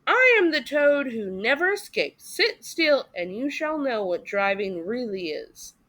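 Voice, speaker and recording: Woman voicing a boastful, high-pitched storybook toad. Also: sing-song, a little slow, a bit bright, full character voice. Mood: reckless, proud. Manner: dramatic, exclamatory. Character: boastful, reckless, conceited male toad from a storybook.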